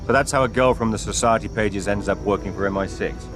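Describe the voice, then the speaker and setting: An actor playing a terse British secret agent in a game, cool, understated and dryly wry. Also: male voice, lowish voice, fast, over music, natural voice. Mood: wry, probing. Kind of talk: cinematic game acting.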